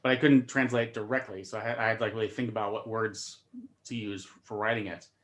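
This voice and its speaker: A man's voice: lowish voice, little pitch movement, quick pace. A man, a stand-up comedian, chatting casually on a video call and often repeating words as he starts his sentences. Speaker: comedian guest.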